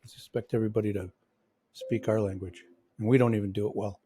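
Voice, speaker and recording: A man's voice: fairly low pitch, fairly even intonation, fast talker, dark tone, slightly rough. A man with a mixed Midwestern and New York accent hosting a casual podcast interview, rambling with lots of restarts and fillers. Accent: Midwestern and New York American. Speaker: podcast host.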